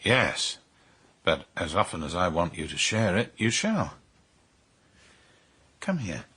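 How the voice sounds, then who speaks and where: Imperious, sardonic count, animated and forceful. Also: male voice, low-pitched, slightly rough, full character voice. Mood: cold command. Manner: imperious, deliberate, clipped commands. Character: cold, sardonic middle-aged Italian count, imperious husband. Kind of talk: radio drama acting.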